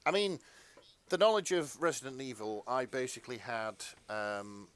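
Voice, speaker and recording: A man co-hosting a podcast in casual chat, with a hesitant, halting delivery. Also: mid-range voice, fairly bright tone. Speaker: podcast co-host.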